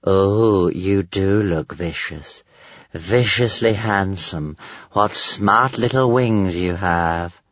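A man voicing a small, vain female dragon in a storybook, purring sly, drawn-out flattery. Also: sits low, no room sound, lo-fi sound.

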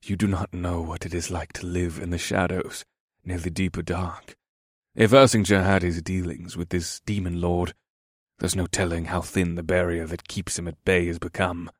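A man voicing a shaken, foppish half-demon thief in a fantasy audiobook, with hushed, grave, determined delivery. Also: deep voice, flat delivery, normal speed, dry recording, studio quality. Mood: haunted, reproving. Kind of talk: fantasy audiobook character acting.

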